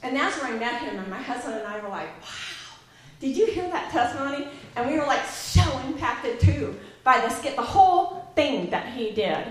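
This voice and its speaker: A woman's voice: high voice, big pitch swings, normal speed. Chatty woman in a very echoey room. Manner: chatty, rambling storyteller. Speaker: event organizer introducing a guest. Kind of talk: casual church talk.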